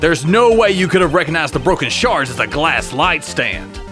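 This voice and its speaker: Man playing determined male attorney, slightly high pitch, lively intonation, confrontational, game dub, background music. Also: moderate pace, lightly acted. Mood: accusing. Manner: assertive, pressing. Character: young male defense lawyer, determined, confrontational. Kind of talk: voiced video game dub acting. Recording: music under the voice.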